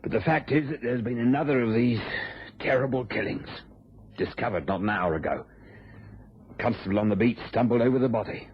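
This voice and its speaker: An actor playing a weary, sombre police inspector in a vintage radio drama. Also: male voice, medium pitch, brisk, husky, natural voice. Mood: sombre, apologetic. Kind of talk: 1950s-60s British radio drama acting. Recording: muffled vintage radio recording.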